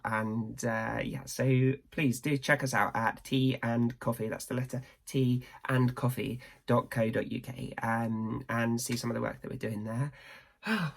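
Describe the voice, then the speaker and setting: A young woman with a slightly croaky voice, chatting casually and rambling to camera. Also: rumbling low. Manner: chatty, rambling, self-deprecating. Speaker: vlogger.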